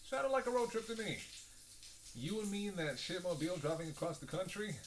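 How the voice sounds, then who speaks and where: A man's voice: high-pitched, expressive, brisk. A man playing a sardonic, playful vampire who banters sarcastically with his hunter in an audio roleplay. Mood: incredulous, playful. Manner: sarcastic, playful, drawling asides with sighs and scoffs. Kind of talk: audio roleplay acting, one-sided dialogue.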